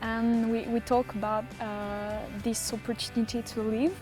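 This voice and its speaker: A woman's voice: fairly high pitch, little pitch movement, unhurried, music in the background. A young woman from the south of France with a French accent, answering casually, searching for English words and often giggly. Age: young adult. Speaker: exchange student interviewee.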